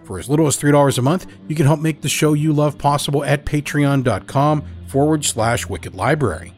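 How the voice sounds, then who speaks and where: A man hosting a horror podcast, reading a content warning and show announcements in a measured way. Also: on the high side, faint music behind. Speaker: podcast host. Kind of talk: scripted podcast intro.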